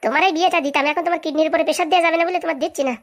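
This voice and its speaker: Excitable comic villager for cartoon played by a woman: high-pitched, extremely quick, rural Bengali accent, bantering. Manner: colloquial, bantering, exclamatory. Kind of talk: comedy cartoon dialogue.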